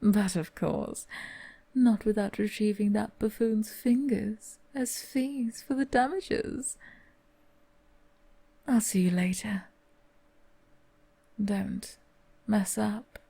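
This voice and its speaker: A woman acting in an audio roleplay as a cold, commanding mistress, often whispering with a breathy voice. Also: mid-range voice, slow pace, long pauses, mellow. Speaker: channel host acting a cold manor mistress.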